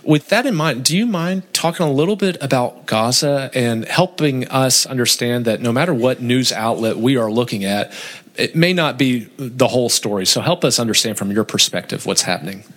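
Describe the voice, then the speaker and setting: A man hosts an interview in a conversational style. His voice is of ordinary male pitch, and he talks as someone putting questions and reflections to a guest. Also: animated, normal speed, fairly bright tone. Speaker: interviewer, host.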